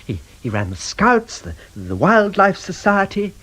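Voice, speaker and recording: An actor playing a mild, apologetic vicar in a radio drama, stammering politely in a husky voice. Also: male voice, high voice, huge pitch swings, measured pace, a bit bright, full character voice. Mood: hesitant. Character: flustered, apologetic, mild-mannered male locum vicar.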